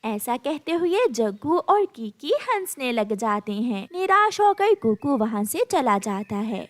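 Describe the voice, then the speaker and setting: A woman's voice: a little high, very animated, takes it slowly, no room sound. A woman narrating a scripted children's story.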